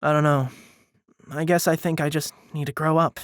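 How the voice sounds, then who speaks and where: A man's voice: fairly high pitch, flat delivery, normal speed, no echo, natural voice. Man playing a hesitant, self-doubting young man, dry sound. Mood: wistful, hesitant. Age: young adult. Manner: hesitant, faltering, defensive. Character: self-doubting young male college student, an aspiring writer. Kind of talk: dramatised audiobook dialogue.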